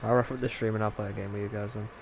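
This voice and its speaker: A man's voice: lowish voice, level tone, very fast. Yorkshire man streaming, game noise behind. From Yorkshire, England. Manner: rambling, loose, swears freely. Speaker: gaming streamer. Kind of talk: casual gameplay commentary.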